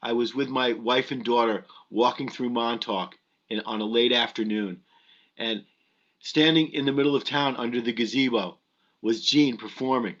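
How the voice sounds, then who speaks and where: A man's voice: mid-range voice, a bit bright. A middle-aged man hosting a live stream, giving a casual introduction in an effusive, emphatic way. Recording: dull lo-fi web stream audio.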